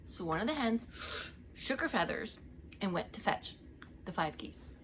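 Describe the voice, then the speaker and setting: Woman, preschool storyteller, lively swooping intonation, theatrical drawn-out words, speaks to the listeners, some background noise. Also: medium pitch. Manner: theatrical, drawing out action words and addressing listeners. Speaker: preschool teacher reading a picture book aloud. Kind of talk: animated read-aloud storytelling for young children.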